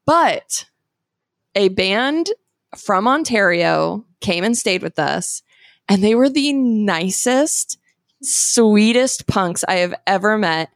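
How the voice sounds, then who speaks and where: A woman's voice: mid-range voice, lively intonation, slow pace, no room sound, clean studio sound. A woman co-hosting a casual food-tasting chat, telling stories and laughing often. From US Bay Area. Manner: chatty storyteller, laughs often. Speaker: co-host tasting candy.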